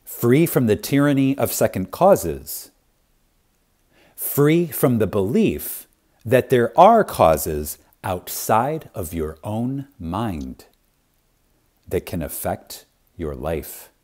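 A man narrating a spiritual audiobook in a reverent, deliberate manner, with dramatic pauses and strong emphasis. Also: lowish voice, big pitch swings, darkish tone. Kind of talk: scripted audiobook reading of a spiritual text.

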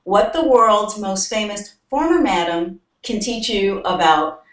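A woman's voice: mid-range voice, animated, a little slow. A young American woman from New York, an author talking at length as a guest in a video call interview. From New York, United States. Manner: talkative, explains at length. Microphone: webcam on a video call. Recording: roomy webcam audio from a distance.

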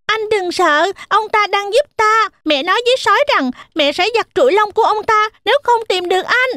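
Little girl goat: extremely high, childlike, friendly cartoon voice, dry sound. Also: animated, moderate pace, bright, forceful tone, no room sound, heavily acted. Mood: reassuring.

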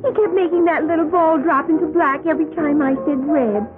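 Woman as very high, childlike wheedling girlfriend. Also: a little fast, close to an everyday voice. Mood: wheedling, girlish. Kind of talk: 1970s radio drama acting. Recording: lo-fi radio recording, loud music behind.

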